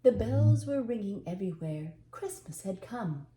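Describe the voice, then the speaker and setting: Mellow older woman telling a story, roomy. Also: mid-range voice, big pitch swings, unhurried, a little hiss or hum. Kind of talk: expressive storybook narration.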